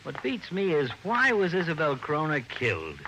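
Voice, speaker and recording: A man's voice: a little high, huge pitch swings, normal speed, sharp tone, a little hiss or hum, heavily acted, rough recording. An actor playing an earnest, plain-spoken male policeman, the detective's friend, in an old radio drama. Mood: puzzled, earnest.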